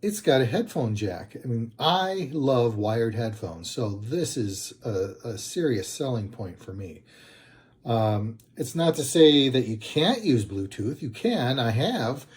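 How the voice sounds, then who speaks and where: A man giving a casual, unscripted product review, speaking in a halting, hesitant way and often correcting himself. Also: mid-range voice, big pitch swings, a little mellow. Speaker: product reviewer, channel host.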